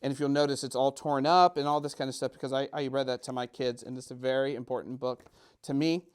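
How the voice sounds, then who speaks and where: A man's voice: mid-range voice, level tone, quick pace, clear, resonant tone. A middle-aged man hosting a children's storytime in a casual, playful and self-deprecating way, chatting and joking straight to young listeners. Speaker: storytime host.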